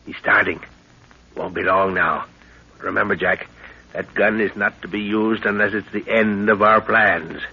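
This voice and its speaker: An actor playing a middle-aged, seasoned jungle adventurer, fatherly and commanding, with measured instructions in a 1930s radio drama. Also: fairly low pitch, fairly even intonation, gravelly, bright, forceful tone, breathy edge, exaggerated voice. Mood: instructing. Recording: noisy dull 1930s radio recording.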